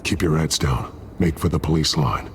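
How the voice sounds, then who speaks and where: An actor playing a grim, commanding masked vigilante in a video game, with a deep, grave voice. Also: male voice, normal speed. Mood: urgent command. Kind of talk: video game hero acting. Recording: reverberant, noisy game scene.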